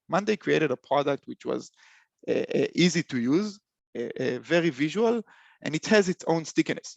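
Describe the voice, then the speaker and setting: A young man with an Israeli accent presenting a webinar, halting speech full of fillers and restarts. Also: a little high, gravelly, dry recording. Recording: dull lo-fi call audio.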